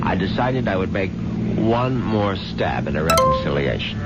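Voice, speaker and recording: An American actor playing a scheming, smooth-talking husband in a 1970s radio drama, falsely affectionate and sardonic. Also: male voice, low voice, big pitch swings, normal speed, very noisy. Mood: coolly calculating. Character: scheming husband narrating his plot to murder his wealthy wife. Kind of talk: 1970s radio drama acting, first-person narration and dialogue. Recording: muffled 1970s radio broadcast with loud music.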